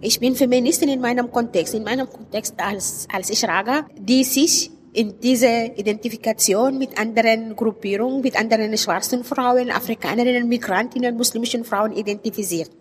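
Higher-voiced Arabic-accented woman in a reverberant hall. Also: a little fast, few pauses. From Sudan. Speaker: interviewed writer and poet. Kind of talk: reflective interview answers. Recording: reverberant hall, clear background noise.

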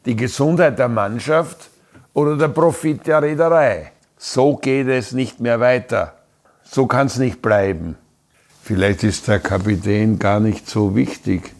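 A man's voice: medium pitch, a little slow. A man playing a brooding, suspicious, mutinous seaman in a radio play. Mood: defiant. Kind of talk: slow radio play monologue.

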